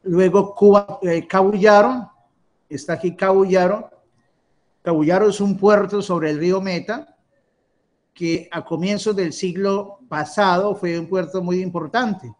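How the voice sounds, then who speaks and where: Middle-aged priest, high-ish male voice, unhurried, halting presentation style. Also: steady tone. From Colombia. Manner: halting, with many restarts and fillers. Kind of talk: presentation explaining a map.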